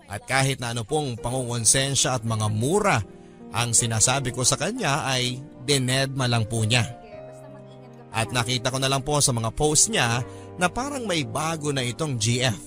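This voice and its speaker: A male radio storyteller reads a personal letter in a classic Filipino drama style. His mid-pitched voice is polite and measured. Music plays clearly behind him.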